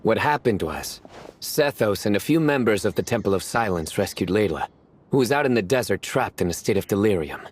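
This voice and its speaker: An actor playing a terse, serious young man who speaks in a flat, matter-of-fact way. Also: fairly low pitch, fairly quick, slight background noise, lightly acted. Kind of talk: game cutscene voice acting. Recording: studio voice with faint music.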